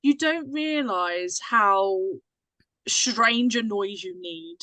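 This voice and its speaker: A woman's voice: mid-range voice, drawn-out words, pushed and bright, dry recording. A woman chatting casually as a co-host, with a teasing, encouraging way of talking. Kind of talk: casual chat.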